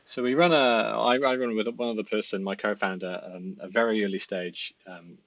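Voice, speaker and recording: A man's voice: fairly low pitch, steady tone, moderate pace, a bit bright, no echo, highs cut off. A Welsh man in his thirties, a designer and part-time founder, talking casually about himself with lots of fillers and restarts. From Wales. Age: 30s. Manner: rambling, many fillers and restarts. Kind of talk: casual self-introduction vlog. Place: indoor home room at a desk.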